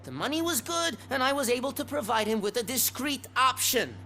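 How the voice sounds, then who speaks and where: A woman's voice: a little high, lively intonation, a little fast, gravelly, sharp tone, slight background noise, heavily acted. A woman playing an urgent, defensive crime suspect in a video game. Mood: resigned. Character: frantic, pleading woman pimp accused of murder. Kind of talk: video game cutscene acting.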